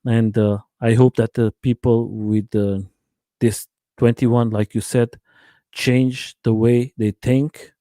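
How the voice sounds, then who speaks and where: A man's voice: mid-range voice, little pitch movement, dark tone, no echo, studio quality. Man hosting a live chat. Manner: halting non-native English, many uh fillers. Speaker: channel host interviewer. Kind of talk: live-stream interview chat.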